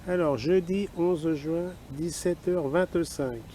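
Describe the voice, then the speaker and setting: A man's voice: on the high side, slow pace, warm tone. A man talking casually outdoors as an amateur radio operator, in vlog style and on radio calls. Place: outdoors in a wooded park. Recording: outdoor ambience, faint background noise.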